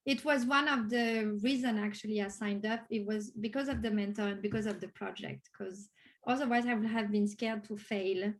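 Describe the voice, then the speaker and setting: A woman's voice: mid-range voice, steady tone, moderate pace, rough recording. A woman from France with a French accent, a native French speaker, answering interview questions conversationally. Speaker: course graduate being interviewed.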